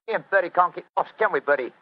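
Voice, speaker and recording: Chirpy, high-pitched Cockney workman. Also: lively intonation, racing. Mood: chirpy, cheeky. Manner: breezy, familiar, singsong. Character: chirpy, cheeky Cockney workman, detective in disguise. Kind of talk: 1940s radio acting. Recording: dull, noisy old-time radio recording.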